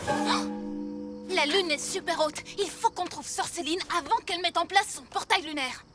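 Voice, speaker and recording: A woman's voice: extremely high pitch, normal speed. A woman voicing a brave, earnest six-year-old superhero who leads the team, direct and decisive, in a French cartoon dub. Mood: determined. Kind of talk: French animated series dubbing. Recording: music bed under the dialogue.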